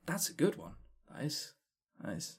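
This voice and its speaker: A man co-hosting a podcast in casual chat, rambling along with sarcastic, dry humour. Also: moderate pace. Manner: swears casually. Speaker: podcast co-host. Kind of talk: casual chat running through award lists.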